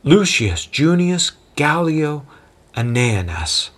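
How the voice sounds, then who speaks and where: A man's voice: on the high side, very animated, drawn-out words, voice pushed up, full character voice. A man voicing a learned, guarded traveler who speaks in a reserved, knowing way.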